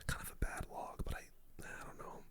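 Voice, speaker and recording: Breathy whisper, bright hissy edge, close mic, slangy gaming play-by-play, ASMR host. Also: brisk. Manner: casual slangy play-by-play, muttering through moves.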